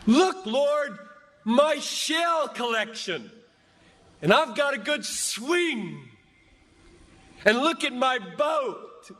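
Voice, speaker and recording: A man preaches in a high, bright, forceful voice. He speaks slowly and emphatically, pleading and repeating phrases for dramatic weight. Long reverb from a large hall surrounds his words. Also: sing-song. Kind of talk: impassioned sermon to a large gathering.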